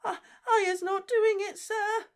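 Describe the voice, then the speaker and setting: A reader voicing a small, frightened female house-elf who squeals and sobs in broken grammar, her voice squeaky and tearful. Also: little pitch movement, unhurried. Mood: terrified, sobbing. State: crying.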